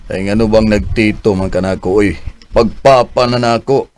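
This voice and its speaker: A man's voice: on the low side, fairly even intonation, normal speed, darkish tone, natural voice. A man voicing a kindly, approving middle-aged uncle in an amateur radio drama. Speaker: voice actor.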